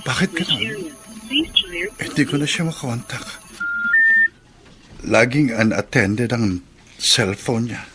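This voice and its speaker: A man's voice: medium pitch, animated, takes it slowly, long pauses, over loud music. A man playing Jester, a young man in a radio drama who pleads earnestly with the woman avoiding him. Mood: troubled. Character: young man Jester, anxious abandoned lover.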